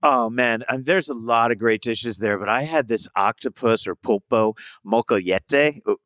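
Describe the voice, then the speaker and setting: A man's voice: mid-range voice, a little slow, a bit bright, no room sound. A man talking as a food writer guest in a casual interview, enthusiastic and rambling with fillers.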